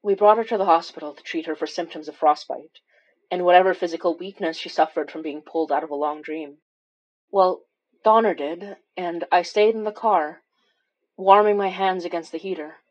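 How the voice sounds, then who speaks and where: A woman acts a wry, caring investigator in a horror audio drama. Her voice sits fairly low and she speaks intimately. The sound is slightly dull. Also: normal speed, a little mellow, natural voice. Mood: reflective. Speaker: lead character and narrator. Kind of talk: first-person horror audio drama narration.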